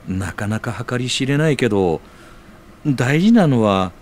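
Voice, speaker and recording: Mild, considerate man, played by an actor: slow delivery, lively melody, middle register, big-brotherly, audio drama. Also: medium pitch, a little hiss or hum, close to an everyday voice. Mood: gentle, thoughtful. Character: gentle, considerate, mild-mannered older-brother type.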